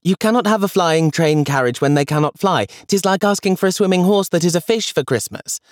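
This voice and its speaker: A young girl with a high, sharp, lecturing tone who corrects everyone with exasperated certainty, as a man reads her part. She is a storybook figure, recorded dry and close. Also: fairly quick, no echo, lightly acted. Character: prim, know-it-all older granddaughter.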